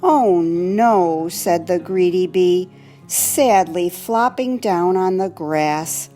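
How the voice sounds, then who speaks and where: A woman reading a children's storybook aloud in an expressive, animated way. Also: fairly low pitch, soft background music. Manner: slow, animated delivery for children. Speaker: volunteer storybook reader.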